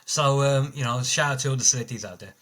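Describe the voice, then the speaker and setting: A man's voice: medium pitch, fast talker, crowd noise. A man from South London with a South London accent, hosting an interview in a friendly way, with long rambling questions and restarts. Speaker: podcast host.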